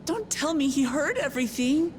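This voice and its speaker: An actress playing an earnest, compassionate young heroine in a video game cutscene, sincere and thoughtful. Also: female voice, high-pitched, lively intonation, moderate pace, a little hiss or hum. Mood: urgent, resolved.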